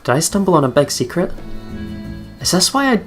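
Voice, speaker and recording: A woman's voice: fairly low pitch, very animated, brisk. A woman hosting a let's play, reading visual novel narration aloud and breaking off into casual, exclaiming reactions. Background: game music.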